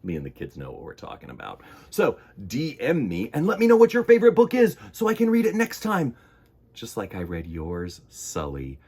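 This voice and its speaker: A man hosting a children's storytime, reading and chatting in a theatrical, expressive way. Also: medium pitch, normal speed, husky. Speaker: children's storytime host and reader.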